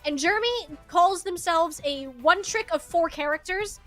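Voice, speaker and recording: A woman's voice: extremely high pitch, expressive, normal speed, fairly bright tone. A woman casting esports, chatting through a match preview in a playful, emphatic way. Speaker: esports broadcast caster. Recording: music playing behind. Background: background music.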